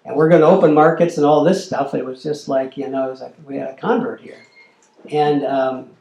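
A man's voice: mid-range voice, fairly even intonation, brisk, vocal fry, mellow, echoey room, highs cut off. An older man giving a storytelling lecture, acting out the quoted exchanges as he talks. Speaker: lecturer, former corporate lobbyist. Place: lecture hall.

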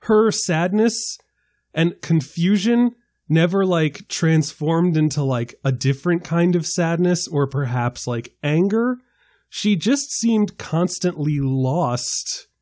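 A man's voice: a little high, takes it slowly, dry recording, clean studio sound. A man on a film podcast, animatedly arguing a minority opinion with examples. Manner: animated, argumentative, builds his case with examples. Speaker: podcast panelist. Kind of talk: casual film discussion.

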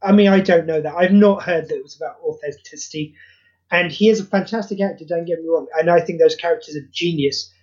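Chatty podcast guest, lively storyteller with fillers, mid pitch, slightly muffled audio. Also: quick pace. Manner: animated, quotes others in acted voices, frequent fillers. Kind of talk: casual chat.

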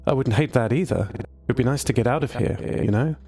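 A man voicing a chatty, lonely male ship AI stranded deep underwater, talkative and joking. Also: a little fast, dark tone, soft background music, natural voice. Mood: hopeful. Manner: talkative, joking, eager for company.

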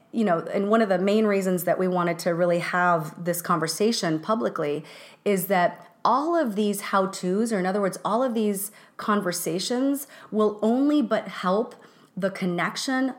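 A middle-aged woman co-hosting a podcast, talking casually with many restarts and fillers. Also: medium pitch. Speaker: podcast co-host.

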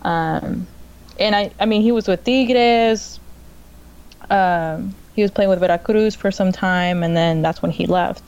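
A woman co-hosting a football podcast, giving a relaxed rundown of results with plenty of ums. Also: medium pitch, clear, resonant tone, muffled recording. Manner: relaxed rundown with frequent ums and quick laughs. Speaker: podcast co-host. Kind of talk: casual football chat.

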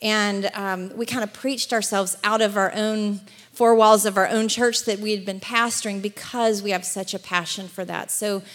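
Upbeat Southern woman in a church hall. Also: medium pitch. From Alabama. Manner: chatty, upbeat, laughs often. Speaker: guest speaker. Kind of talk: church address. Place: church sanctuary. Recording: long church hall reverb.

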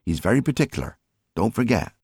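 A bossy, fond rural wife and mother, voiced by a man reading an audiobook. She scolds in plain folksy speech, pitched well above the reader's own voice, with lively swings and a dry sound. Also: quick pace, husky, dry recording, full character voice. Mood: brisk, fond. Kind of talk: audiobook character acting.

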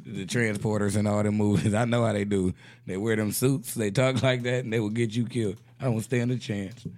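A man with a raspy voice hosting a casual comedic radio show, joking and laughing often in slangy banter. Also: fairly low pitch, steady tone, a little fast, a little mellow.

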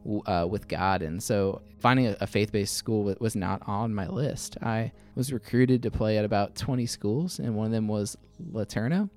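A man in his thirties answering interview questions conversationally, often halting and restarting his words. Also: on the low side, darkish tone, faint music behind. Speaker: interview guest, attorney.

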